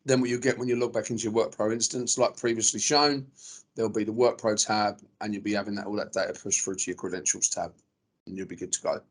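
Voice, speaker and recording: A man's voice: on the low side, steady tone, fast. A man hosting and presenting a webinar in a casual, informal manner.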